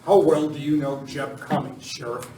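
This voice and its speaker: A man's voice: mid-range voice, steady tone, moderate pace, dark tone, natural voice. An amateur actor playing a commanding masked lawman hero in a live radio western. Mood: resolute, planning. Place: village hall stage, live performance. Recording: roomy, distant miking, faint noise.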